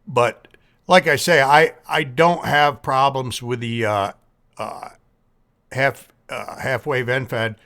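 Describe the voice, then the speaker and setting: A man talking casually as an amateur radio operator in a folksy, measured, plain-spoken manner. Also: mid-range voice, fairly bright tone, clear, resonant tone. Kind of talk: casual ham radio contact.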